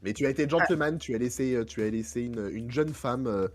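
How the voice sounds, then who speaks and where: A man with a head cold and a hoarse voice, hosting a casual podcast chat with many fillers and self-mocking asides. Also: mid-range voice, a little fast. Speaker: podcast host.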